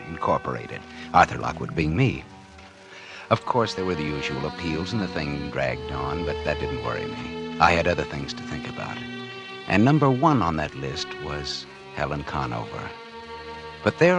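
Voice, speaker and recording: A smug, scheming American publisher with a low voice that swings widely in pitch. He is a sardonic, confiding storyteller in a 1940s radio noir, on a vintage recording with music behind him. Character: scheming, smug publisher narrating his crime, smitten lover. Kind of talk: 1940s radio noir drama acting and narration.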